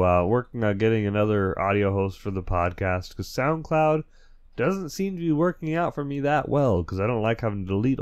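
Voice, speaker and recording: A lower-pitched man hosting a laid-back solo podcast. He has a cold, so his throat sounds dry and stuffy. He rambles, corrects himself mid-sentence and chuckles now and then. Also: darkish tone. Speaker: podcast host. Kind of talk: casual solo podcast chat.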